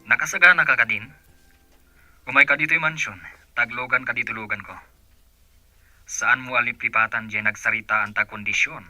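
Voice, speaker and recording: A man's voice: medium pitch, steady tone, normal speed, long pauses, sharp tone, soft background music. A man acting a bossy, impatient employer in an Ilocano radio drama.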